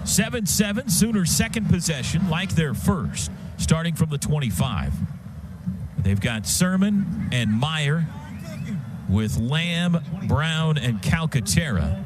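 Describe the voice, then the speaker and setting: An American man with a high-set voice calls a football game for radio. He describes the action in clipped, vivid phrases, building with the play, over loud stadium noise. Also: lively intonation, takes it slowly. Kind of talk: live football play-by-play commentary.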